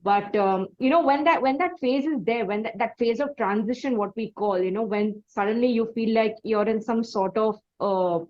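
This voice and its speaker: A woman's voice: on the high side, normal speed, hardly any pauses, a little mellow. Indian-accented woman interviewer, slightly dull recording. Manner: wordy, hesitant phrasing. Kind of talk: reflective conversational interview.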